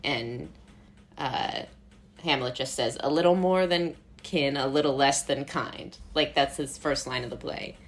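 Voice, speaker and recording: A woman's voice: a bass voice, unhurried. An American woman from Brooklyn talking casually as an interview guest, informal, rambling, amused and self-deprecating. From Brooklyn, United States.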